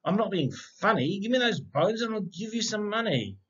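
A man acts a scholarly storybook professor, insistent and protesting. The pitch is raised and animated, the recording a little dull. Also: normal speed, slightly rough, heavily acted. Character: smiling, scholarly professor haggling over rare bones. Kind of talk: children's storybook read-aloud.

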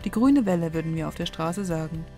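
A woman calmly narrating a sailing vlog in a scripted voice-over. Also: deep voice, fairly quick, soft background music.

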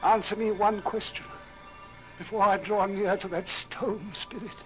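An actor playing a frightened old miser in an old radio drama, theatrical and imploring. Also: male voice, high-pitched, fairly even intonation, a little slow. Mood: solemn dread. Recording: old record with music underneath.

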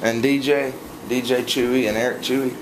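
A young man making a prank phone call from a home kitchen at night, talking casually into a phone held close, teasing and smooth-talking with plenty of slang. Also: medium pitch, little pitch movement, brisk. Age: young adult. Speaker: prank caller. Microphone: phone held close to mouth, camera close by. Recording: noisy home recording.

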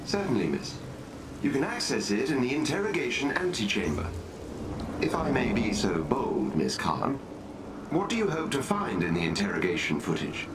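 A man's voice: mid-range voice, huge pitch swings, very noisy. A man voicing a polite, composed, formal artificial intelligence butler in a video game. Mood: composed, courteous. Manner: formal, courteous, measured.

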